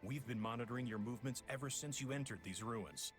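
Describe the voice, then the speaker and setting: An actor playing a cool, scheming villain leader in a video game, composed, smug and precise. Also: male voice, medium pitch, fast, music in the background, natural voice. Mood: cool, calculating. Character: cool, scheming male villain leader explaining his plan.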